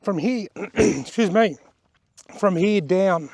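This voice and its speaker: Australian bloke, slightly croaky, higher-pitched, casual outdoor walk-and-talk tour, dry close sound. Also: male voice, expressive, slow pace, darkish tone, dry recording. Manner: chatty and plain-spoken, pointing things out as he goes. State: slightly hoarse from a bug. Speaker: outdoor vlogger giving a tour. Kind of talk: casual walking-tour narration. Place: outdoors at wetlands by a creek and car park.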